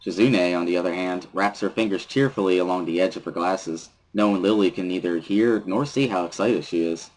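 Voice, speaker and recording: A man reading first-person story narration from a visual novel as a dramatic reading, with a husky voice. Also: deep voice, a little fast, hardly any pauses, a little mellow, rough recording.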